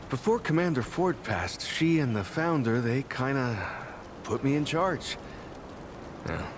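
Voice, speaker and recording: An actor playing an earnest, bashful settlement mayor in a video game, talking in a hesitant, stammering, naturalistic way over ambient sound. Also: male voice, mid-range voice, background noise, close to an everyday voice. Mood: modest.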